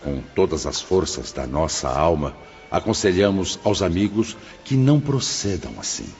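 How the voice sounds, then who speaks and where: A man playing a venerable, fatherly spirit mentor in an audiobook drama, solemn and exhorting in a formal, measured way. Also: low-pitched, expressive, a little mellow. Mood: solemn exhortation. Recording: dull, reverberant hall sound with music behind.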